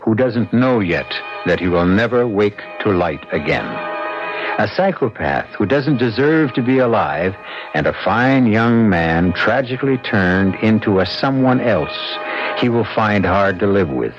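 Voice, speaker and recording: A man acting as the solemn host and storyteller of a radio mystery, narrating in a portentous, deliberate way. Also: fairly low pitch, expressive. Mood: ominous. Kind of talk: dramatic scripted radio narration. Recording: dull old radio recording. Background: music.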